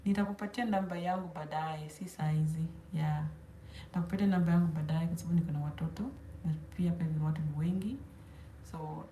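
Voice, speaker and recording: Mellow East African woman in an echoey room. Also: low-pitched, steady tone, fast talker. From South Sudan, grew up in Kenya. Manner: informal, switches between English and Swahili. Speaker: host of a hair channel. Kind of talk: casual livestream chat answering viewer questions. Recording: roomy echo, faint background noise.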